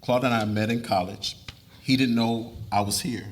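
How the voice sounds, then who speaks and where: A medium-pitched male voice , a man reading the part, gives us an earnest middle-aged husband and father. He explains himself in a confessional way, as in a dramatic reading of a domestic novel. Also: fairly even intonation, normal speed, natural voice. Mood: confessing, earnest. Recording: live reading with room noise.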